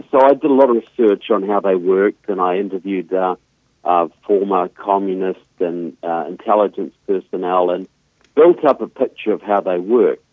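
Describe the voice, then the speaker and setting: A man's voice: sits low, no room sound. New Zealand man on a tinny phone line. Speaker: guest author.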